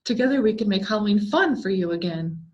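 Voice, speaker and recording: A woman's voice: medium pitch, animated, fast, darkish tone, muffled recording, natural voice. A woman voicing a gleeful, sinister circus clown with a theatrical, mock-cheerful showman's delivery. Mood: soothing, eerie.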